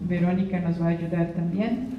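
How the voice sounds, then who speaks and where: A woman's voice: on the low side, moderate pace, highs cut off, slight background noise. A woman moderating a university event, making hesitant announcements to the audience. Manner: hesitant, many fillers. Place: university lecture hall. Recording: distant echoey hall with audience.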